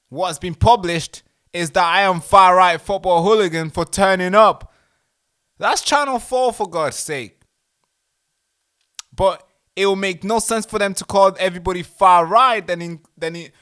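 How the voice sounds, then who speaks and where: A man hosting a casual livestream, talking in an animated, indignant way with mocking asides. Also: high voice. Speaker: livestream host.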